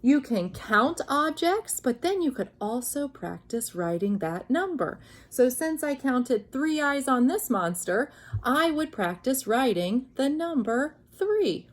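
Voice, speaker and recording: A woman reading aloud to young children in an expressive, sing-song manner, like a teacher guiding them through a picture book. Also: high-pitched, slow pace. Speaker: children's story reader, teacher.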